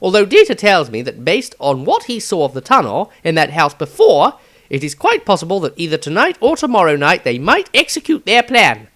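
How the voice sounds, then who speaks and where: Man playing a fussy, high-pitched eccentric wizard. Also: fairly quick, full character voice. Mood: exasperated. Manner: expressive, grumbling, wordy. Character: fussy, eccentric male wizard, exasperated and animated. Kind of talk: fantasy audio story diary.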